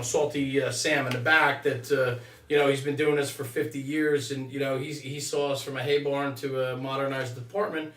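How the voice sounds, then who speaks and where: Bright-voiced American man in a roomy space. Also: mid-range voice. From New Jersey, United States. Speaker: podcast host and longtime firefighter. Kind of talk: casual interview conversation. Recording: roomy echo, slightly distant microphone.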